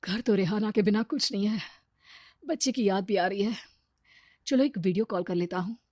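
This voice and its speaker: A woman voicing an arrogant, fickle husband, boastful and wheedling, in an animated story. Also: medium pitch, moderate pace, dry recording, studio quality, close to an everyday voice. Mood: wistful. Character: arrogant, fickle, money-proud husband, easily smitten.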